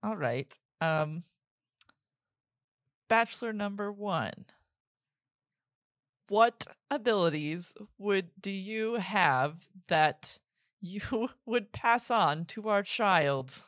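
Giggly woman guest, talks slow, dry close sound, playful dating show bit. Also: mid-range voice, expressive, fairly bright tone, no room sound. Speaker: podcast guest.

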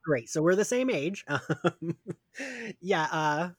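A man's voice: a little high, expressive, takes it slowly, no echo, studio quality. A man in his thirties hosting a podcast, asking guests questions and teasing playfully. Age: 30s. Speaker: podcast host. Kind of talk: casual podcast chat.

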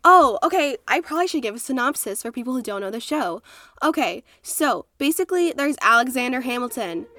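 A woman's voice: high voice, animated, a little fast, pushed and bright, dry recording. A congested woman co-hosting a casual history podcast from a closet, telling stories in a chatty, playful way with lots of fillers. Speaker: podcast co-host. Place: small closet at home. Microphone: makeshift home setup.

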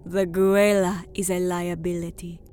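Haughty female alien military leader voiced by a woman for audio drama: lowish, unhurried, clipped and formal. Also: flat delivery, darkish tone, close to an everyday voice. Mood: contemptuous. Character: proud, stern female alien T'au commander.

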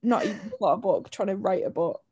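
A woman co-hosting a podcast in casual chat, her voice croaky from a lingering chest illness, talking chattily with frequent laughs and restarts. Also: mid-range voice, expressive, fairly quick, mellow, dull audio. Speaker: podcast co-host.

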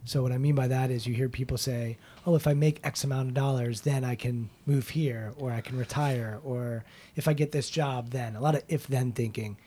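A man's voice: medium pitch, steady tone, moderate pace, few pauses, warm tone, a little hiss or hum. A man hosting an interview, asking curious, probing questions in a reflective, conversational way. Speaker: interview host.

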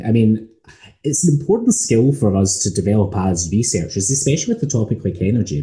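A Scottish man, an academic, talking in a measured, thoughtful way with frequent ums. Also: lowish voice, dark tone, rough recording. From Scotland. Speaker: academic lecturer. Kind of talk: casual academic conversation.

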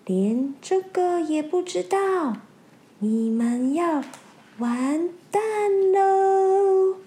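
A woman voicing a scheming lantern fish villain in a children's story, talking slowly and drawing out her words. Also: very high voice, animated, warm tone, voice pushed up, full character voice. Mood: gloating. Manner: slow, drawn-out, gloating.

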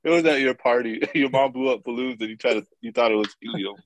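A man's voice: mid-range voice, fast, a crowd in the background, poor audio. A man co-hosting a podcast, chatting casually and teasing his friends with frequent laughs. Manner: joking, teasing, laughs often. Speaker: podcast co-host. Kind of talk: casual chat.

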